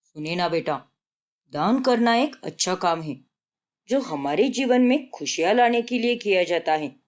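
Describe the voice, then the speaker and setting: A woman voicing an elderly grandmother in a family story, patient and principled, gently admonishing as she moralizes. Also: mid-range voice, animated, a little slow, pitched below the performer's own voice, natural voice.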